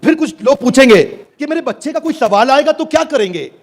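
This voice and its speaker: Theatrical high-voiced man on stage. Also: expressive, fairly quick. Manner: theatrical, calls out to the audience and prompts replies; swings between booming and hushed delivery. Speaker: business speaker presenting a product launch. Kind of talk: high-energy stage presentation. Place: stage before a live audience. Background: audience.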